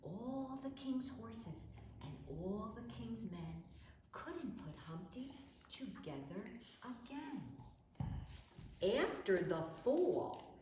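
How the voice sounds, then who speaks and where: Echoey, animated woman reading a children's story. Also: a little high. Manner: animated, dramatic, measured. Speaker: library storytime reader. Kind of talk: expressive children's picture-book read-aloud. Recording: echoey room with background noise.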